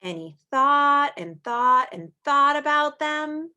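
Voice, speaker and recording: A woman's voice: sits high, lively intonation, slow pace, bright, forceful tone. A woman reading aloud to young children like a teacher, drawing out the story words expressively and adding chatty asides and questions.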